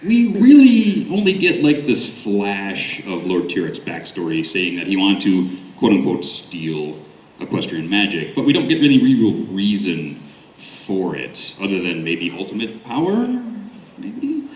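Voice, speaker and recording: Low-voiced guy hosting a con panel, really animated intonation, casual questions. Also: measured pace. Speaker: panel moderator. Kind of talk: casual interviewing at a fan convention panel. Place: convention panel room. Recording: echoey convention room, distant mic, faint noise.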